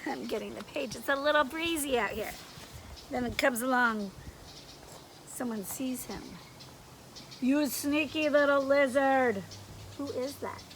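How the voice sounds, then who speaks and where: A woman's voice: sits high, normal speed, long pauses, a bit bright, slight background noise. A woman reading a picture book aloud outdoors for children, expressively, often stopping to ask them questions. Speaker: story reader for young children.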